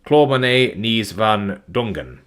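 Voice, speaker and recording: A man's voice: fairly low pitch, slow. Weary man reading file lists. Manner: flat, plodding reading of numbers with weary asides. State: tired, voice starting to go. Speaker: document narrator. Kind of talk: live-streamed reading of file listings.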